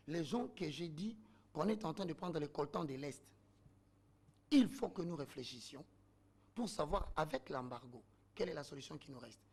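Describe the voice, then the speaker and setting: A man's voice: on the high side, vocal fry, darkish tone, slightly rough. A man, arguing his point as a guest in a heated panel debate, oratorical and full of rhetorical questions.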